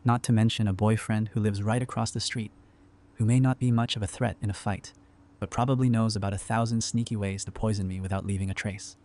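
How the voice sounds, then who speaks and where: Male actor as friendly, practical post-apocalyptic survivor for audio drama; fairly low pitch, dry casual banter. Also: fairly even intonation, fairly quick, a little mellow, natural voice. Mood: teasing, wry. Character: easygoing, wry male survivor, friendly and pragmatic. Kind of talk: fan audio drama dialogue.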